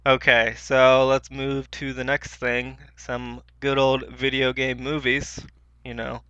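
A man's voice: medium pitch, monotone, slow, sharp tone, clean tone, lo-fi sound. A man co-hosting a podcast in casual, informal chat with slangy wording. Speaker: podcast co-host.

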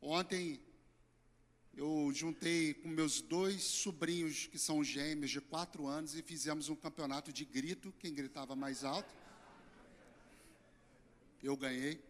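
Hoarse middle-aged Brazilian pastor, fairly high voice, earnest sermon swinging loud to soft. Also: male voice, fairly even intonation, a little slow, pauses often. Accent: Brazilian Portuguese. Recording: church hall reverb.

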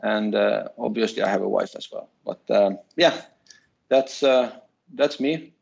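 A man's voice: fairly low pitch, unhurried, creaky, husky. A man, hesitantly introducing himself as a podcast guest. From Finland, raised in Sweden. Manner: hesitant, many fillers. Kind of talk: casual introduction.

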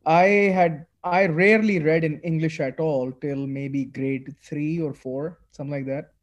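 Man, fairly high voice, talking excitedly in a casual interview. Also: measured pace. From Pakistan. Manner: animated, many restarts and fillers. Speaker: guest author.

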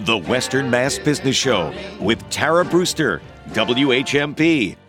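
A man's voice: mid-range voice, expressive, unhurried. Male station announcer, jingle read, music under. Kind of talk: radio show jingle. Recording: over music.

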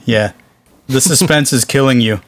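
A man's voice: mid-range voice, normal speed, slight background noise. A man co-hosting a podcast in casual, conversational chat. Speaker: podcast co-host.

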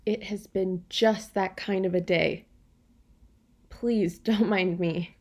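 Defensive woman, radio drama, medium pitch, sardonic, clipped, skeptical, unyielding. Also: steady tone, slow pace, a little mellow. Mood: cool, sardonic. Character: defensive, stubborn woman of Turkish descent in denial. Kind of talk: radio play acting.

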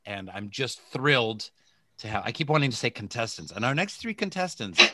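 A man hosting a podcast over a video call, enthusiastic and halting, with many fillers. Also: mid-range voice, very animated, very noisy. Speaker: podcast host. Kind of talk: casual video call chat.

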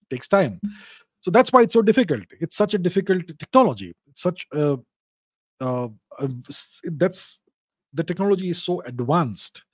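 A man's voice: fairly high pitch, sing-song, normal speed, dry recording. A man with an Indian accent hosting a question-and-answer show, giving unscripted explanations and repeating key phrases for emphasis.

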